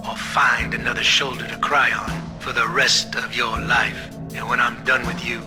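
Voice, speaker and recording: Casting: male actor for cruel espionage boss villain, audio drama; measured menace, mid-pitched, music behind. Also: close to an everyday voice. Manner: menacing, articulate, deliberate threats. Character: cold sadistic male espionage chief, villain taunting his enemy. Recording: music bed under the voice.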